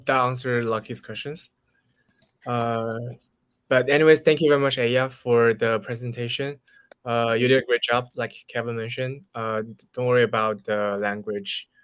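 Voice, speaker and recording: A young man moderates a demo session on a video call with a smooth, resonant voice. The sound is dry and close, and he is polite and encouraging. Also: medium pitch, little pitch movement, moderate pace, no room sound. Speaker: event host.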